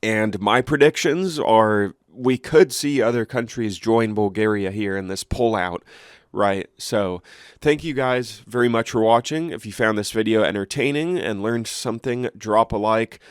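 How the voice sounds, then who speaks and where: A man's voice: fairly low pitch, measured pace, clean tone, no echo, clean studio sound. A congested man hosting his own channel, reading news articles aloud and then chatting casually with fillers and restarts. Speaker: channel host.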